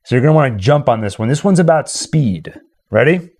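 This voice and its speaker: A man's voice: medium pitch, animated, a little fast, darkish tone. A man hosting a casual livestream, chatting and speaking directly to viewers. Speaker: livestream host. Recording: music playing behind the voice. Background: game and tune music.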